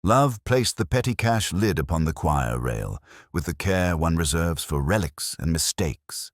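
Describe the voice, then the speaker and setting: Very deep-voiced man narrating a mystery. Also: big pitch swings, a little slow, no echo, clean studio sound. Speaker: story narrator. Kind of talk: literary scripted mystery narration.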